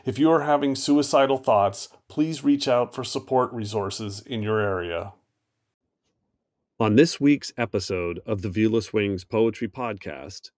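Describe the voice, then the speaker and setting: A man hosting a poetry podcast, reading a scripted introduction in a measured, announcer-like way. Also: fairly low pitch, a little mellow, no room sound, clean studio sound. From United States. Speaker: podcast host.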